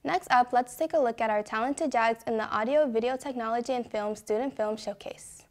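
A teenage girl hosting a school news show, reading announcements in a bright, upbeat anchor style from a studio desk. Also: a little high, brisk. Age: teens.

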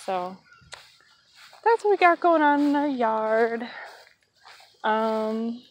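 A woman filming a relaxed, casual tour of her backyard garden on a phone, chatting with plenty of ums. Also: fairly high pitch, very slow. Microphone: phone held while walking.

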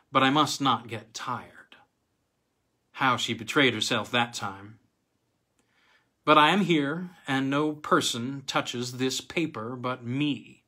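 A middle-aged man reads a literary tale aloud. His voice is bright and forceful, with a husky edge. The delivery is dramatic and halting, leaning on emphatic pauses. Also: medium pitch. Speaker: reader of weird tales. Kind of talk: expressive literary audiobook-style story reading. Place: small home room with bookcase, indoors.

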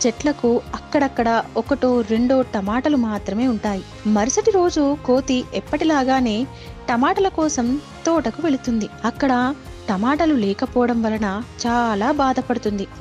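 High-pitched woman telling children's moral stories. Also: unhurried, a little mellow, dull audio. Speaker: storyteller. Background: faint music.

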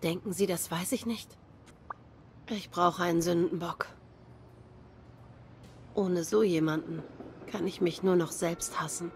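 A young cat woman in a noir game, grieving and guarded. Her voice is on the low side, subdued, with a bitter, sardonic edge. There is some noticeable ambient noise behind her. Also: steady tone, measured pace, long pauses, darkish tone. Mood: guarded, weary. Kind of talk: noir game dialogue acting.

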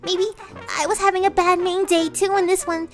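A woman voicing a shy, sweet, easily flustered cartoon pony, with a girlish voice and a halting, apologetic, plaintive manner, improvising commentary in character. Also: extremely high pitch, flat delivery. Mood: timid, puzzled. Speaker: in-character host reacting to pictures. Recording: children's music playing under the voice. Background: children's music.

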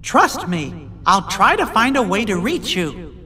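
A man voicing a small cartoon mouse king, speaking in a high falsetto, gentle, earnest and encouraging, in a game cutscene. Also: big pitch swings, unhurried, in a large hall, full character voice. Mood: reassuring. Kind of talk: video game cutscene dialogue.

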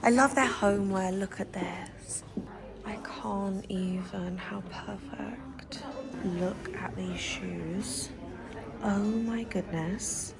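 A woman's voice: mid-range voice, slow, creaky, a crowd in the background. A young woman chatting to her camera as she shops, gushing over what she sees. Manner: gushing, chatty, talking to camera while walking. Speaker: vlogger. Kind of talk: casual shopping vlog chat. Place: busy city street and shops, outdoors and indoors. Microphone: handheld camera at arm's length. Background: music.

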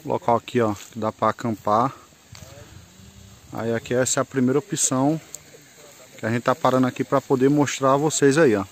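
A man's voice: mid-range voice, steady tone, moderate pace, long pauses. A man giving a casual, rambling walking tour of a rural campsite, filmed on a handheld camera outdoors. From Northeast Brazil. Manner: chatty, pointing things out, loose and rambling. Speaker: moto travel vlogger. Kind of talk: casual vlog tour narration.

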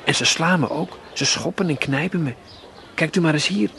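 A man's voice: on the high side, normal speed, gravelly, fairly bright tone, slight background noise, pitched above the performer's own voice. An eager, chattering ragged little girl, as a male storyteller puts her on. She talks in a raised, pleading voice, well above his plain narration. Storybook radio reading.

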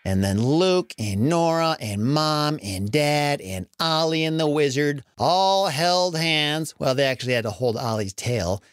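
A narrator telling a children's story in an animated style with dramatic, drawn-out emphasis. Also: mid-range voice, dry recording, studio quality.

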